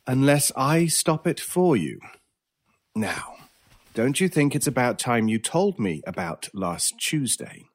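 An actor playing a cool, teasing, mysterious British stranger in a horror radio drama, with a measured, theatrical and wry way of talking. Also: male voice, medium pitch, very animated. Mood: ominous, persuasive. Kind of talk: old time radio style horror audio drama.

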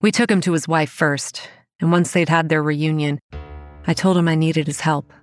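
An actress playing a young campground manager who narrates a horror audio drama in a wry, dry, understated way. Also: female voice, low voice, steady tone, normal speed, dry recording, soft background music, lightly acted. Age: young adult.

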